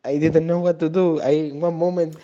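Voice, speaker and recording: Male guest, twenties, Argentine Spanish accent, mellow, halting, conversational. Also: on the high side, little pitch movement, muffled recording. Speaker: Zumba instructor, interview guest. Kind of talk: casual interview answers.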